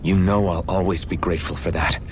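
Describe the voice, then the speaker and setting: A man's voice: low voice, fairly even intonation, fairly quick, a little hiss or hum, natural voice. An actor voicing a stoic, earnest young slave man in a video game drama. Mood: troubled, indignant. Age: young adult. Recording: studio voice under loud game music.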